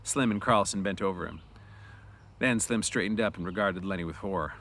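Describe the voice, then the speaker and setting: Low-pitched man reading a story aloud. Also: brisk, fairly bright tone. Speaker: read-aloud narrator. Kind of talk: animated story reading with informal asides.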